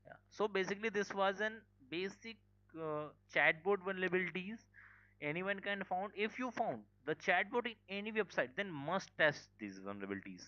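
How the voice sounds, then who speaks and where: A man's voice: sits high, animated, moderate pace, sharp tone. A man teaching a live online security class, informal, full of fillers and "okay". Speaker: cybersecurity instructor. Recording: dull lo-fi call audio.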